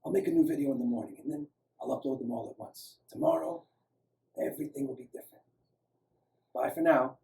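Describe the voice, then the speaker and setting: A man voicing an obsessive, excitable young man making a video diary in a story, halting and breathless with zeal. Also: medium pitch, creaky, natural voice. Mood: dazed, hushed. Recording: roomy, echoing, distant microphone.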